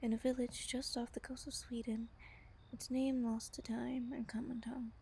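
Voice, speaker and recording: A woman playing a gentle, wistful female vampire, telling a bedtime story tenderly and intimately in a breathy, whispery, soft-spoken roleplay style. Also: fairly high pitch, flat delivery, normal speed, slight background noise. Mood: fond, nostalgic. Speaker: voice actor in roleplay audio.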